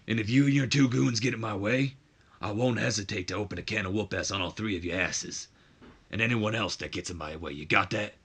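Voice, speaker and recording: A man acting out an angry, blunt tough-guy wrestler in a gruff, confrontational promo style. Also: fairly low pitch, a little fast, a bit bright. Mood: menacing.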